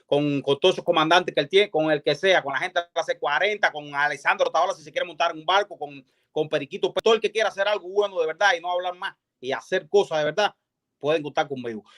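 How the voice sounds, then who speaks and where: A man's voice: fairly high pitch, fast, fairly bright tone. A man with a Cuban accent speaking as an activist guest on a live stream, emphatic and repetitive, often checking that he is understood. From Cuba. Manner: insistent, repetitive, emphatic, peppered with check-in questions. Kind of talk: impassioned political talk on a live stream.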